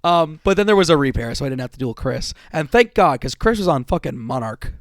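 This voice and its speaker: A man's voice: a little high, animated, brisk, no room sound, clean studio sound. A man hosting a casual podcast with a sore, worn-out voice, rambling, swearing casually and restarting his sentences. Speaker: podcast host.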